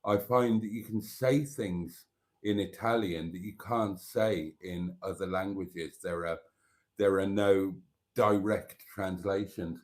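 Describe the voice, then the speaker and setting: A man's voice: deep voice, takes it slowly. A Welsh man, a native Welsh speaker, hosting a live stream and musing in a meandering way, with many fillers and restarts. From Wales. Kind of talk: casual live stream chat.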